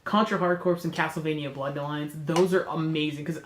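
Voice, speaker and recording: A man's voice: on the high side, fairly even intonation, brisk, reverberant, slight background noise. A man with a cold and a congested, nasal voice, hosting a casual chat and rambling with enthusiasm while he corrects himself. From North America. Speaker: host.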